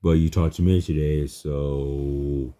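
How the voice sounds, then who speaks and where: Very deep, dark-voiced halting man. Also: level tone. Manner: halting, repetitive, non-fluent phrasing. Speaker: chatbot user. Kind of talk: casual spoken chat.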